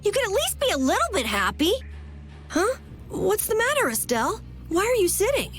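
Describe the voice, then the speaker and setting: A woman's voice: extremely high pitch, huge pitch swings, normal speed, fairly bright tone, soft background music, heavily acted. An actress playing an eager, easily rattled young boy adventurer in a video game. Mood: excited. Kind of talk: animated video game acting.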